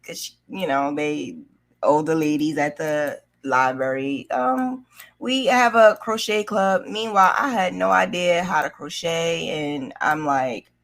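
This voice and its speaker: A woman's voice: low voice, a little slow. A middle-aged woman telling a personal story in a casual, candid and conversational way. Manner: candid, conversational, acts out remembered dialogue. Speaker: vlogger telling her own story.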